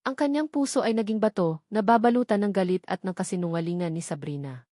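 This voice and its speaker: A woman's voice: medium pitch, fast, warm tone, no echo, studio quality. A woman reading a scripted story as a dramatic narrator.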